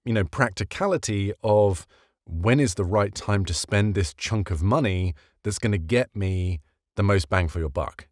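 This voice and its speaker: A man's voice: fairly low pitch, a little mellow, no echo, studio quality. A man talking casually and explaining like a coach, posing questions and repeating key words for emphasis. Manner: conversational, rhetorical questions, emphatic repetition. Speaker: voiceover coach giving career advice. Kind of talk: casual explanatory talk to camera.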